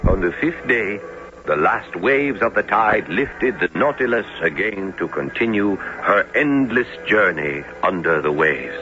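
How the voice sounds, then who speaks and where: An actor playing a thoughtful professor who narrates his sea adventure in measured, literary, dramatic radio style. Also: fairly low pitch, lively intonation, sharp tone. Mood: awed. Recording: muffled old radio broadcast with music.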